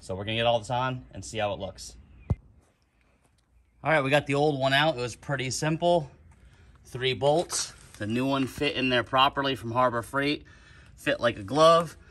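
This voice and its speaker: A man hosting a casual how-to video, narrating a trailer upgrade outdoors in a relaxed, plain-spoken way while holding the camera. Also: medium pitch, normal speed. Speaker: DIY channel host. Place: outdoors on a concrete driveway. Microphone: camera mic, one hand on camera.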